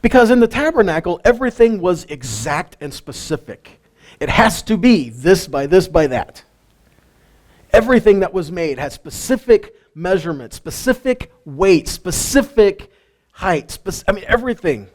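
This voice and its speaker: Animated man teaching Bible lessons. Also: sits high, normal speed. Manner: animated, asks rhetorical questions, jokes with listeners. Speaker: teacher of a weekly Torah portion.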